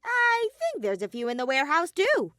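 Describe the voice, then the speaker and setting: A woman's voice: sits high, wildly swooping pitch, moderate pace, pushed and bright, dry recording, studio quality, voice pushed up, heavily acted. A woman voicing a mischievous, sadistic male robot bear in an audio story, flippant and taunting. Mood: nonchalant, taunting. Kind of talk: fan fiction audio story character voice.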